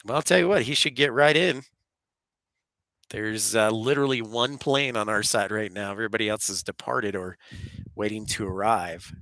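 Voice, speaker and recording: A man's voice: fairly low pitch, moderate pace, pushed and bright, no room sound. A man with a congested voice from a cold and sore throat, chatting casually and welcoming viewers as he hosts a live plane-spotting stream. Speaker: livestream host, aviation spotter. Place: live at an airport.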